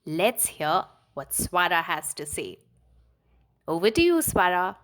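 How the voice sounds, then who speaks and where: Female children's storyteller, scripted read-aloud, unhurried and deliberate, lively intonation. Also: lowish voice. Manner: expressive, deliberate phrasing for children.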